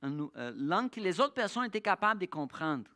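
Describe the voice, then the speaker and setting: A preacher giving a church sermon, pausing and restarting often to find the words, and slowing down to read scripture aloud. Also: medium pitch, sing-song, pushed and bright. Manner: halting, with frequent restarts and searching pauses. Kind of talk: sermon with Bible reading.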